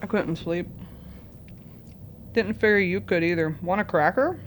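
A woman's voice: fairly low pitch, a little slow, a little hiss or hum, natural voice. Woman playing a breezy, teasing female patient. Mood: casual. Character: casual, teasing, wry female psych ward resident. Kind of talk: radio play acting.

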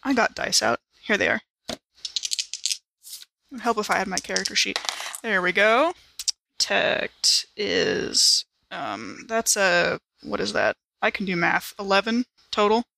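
Bright-voiced woman playing a tabletop game. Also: medium pitch, expressive, unhurried, vocal fry, dry recording. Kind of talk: casual improvised game chat.

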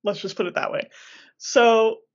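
A woman's voice: on the high side, fairly even intonation, unhurried, dull audio. A woman co-hosting a podcast in casual chat, playful and slangy, often laughing. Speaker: podcast co-host.

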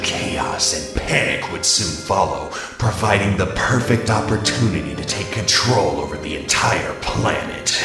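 A cold evil robot villain speaks slowly and formally in a radio play. The voice is deep and menacing, echoing over prominent music. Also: male voice, exaggerated voice. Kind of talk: amateur radio play acting. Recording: echoing voice under loud music.

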